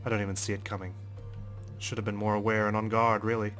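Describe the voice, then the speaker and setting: Low-voiced man reading a game dramatically. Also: flat delivery, fast, a bit bright, soft background music. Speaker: let's player. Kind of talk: dramatic read-aloud of a visual novel with asides.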